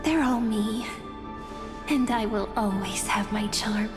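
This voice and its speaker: An actress voicing a gentle, hopeful young woman who watches over time in a fantasy game, speaking tenderly and deliberately. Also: mid-range voice, natural voice. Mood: wistful, tender. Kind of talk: dramatic fantasy game voice acting. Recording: long reverb under clear background music.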